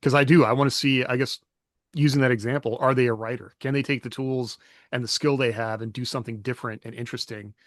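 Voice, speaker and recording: A man's voice: medium pitch, fairly even intonation, fast talker, no echo, studio quality. A man co-hosting a writing podcast, reflective and a bit hesitant, often laughing in casual chat. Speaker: podcast co-host, author.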